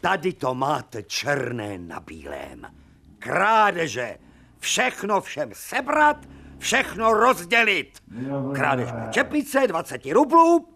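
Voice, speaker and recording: A man's voice: a little high, wildly swooping pitch, creaky, pushed and bright, exaggerated voice. An actor playing an irascible, sarcastic older male professor in a radio drama, lecturing with scorn and emphasis. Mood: indignant.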